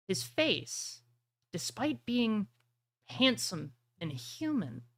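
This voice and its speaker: A woman with a fairly low voice acts as game master for a tabletop game. She narrates dramatically and takes her time over the words. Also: a bit bright, dry recording.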